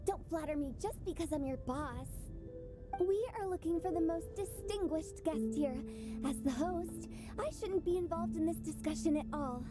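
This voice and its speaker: A woman playing a playful, spirited teenage girl who hosts with breezy, theatrical ceremony in a video game. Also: extremely high pitch. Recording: loud music behind the voice. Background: music.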